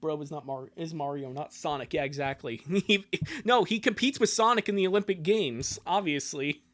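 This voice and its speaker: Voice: medium pitch, normal speed. A streamer giving casual, joking livestream commentary while reading a fan comic, with apologetic asides to viewers.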